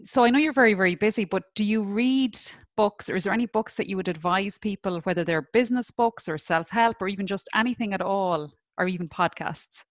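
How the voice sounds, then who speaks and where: A woman's voice: medium pitch, brisk, few pauses, dry recording, clean studio sound. A woman hosting an interview, asking questions and giving encouraging praise. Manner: encouraging, affirming, frequent praise. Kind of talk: interview host.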